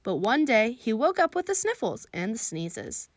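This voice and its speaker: A woman reading a children's picture book aloud, expressive and warm, with a bright lift when she greets the listeners. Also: on the high side, moderate pace. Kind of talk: storybook read-aloud for kids.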